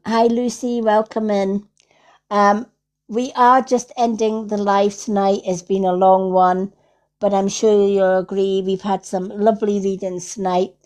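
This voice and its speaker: A Scottish woman hosts a casual livestream as a medium. She speaks slowly in a soft-edged, mellow voice. Her manner is affirming and repetitive, with frequent little check-ins. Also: medium pitch. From Scotland. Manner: affirming, repeats herself, tags with "right?". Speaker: psychic medium hosting a livestream. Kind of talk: casual livestream spiritual reading.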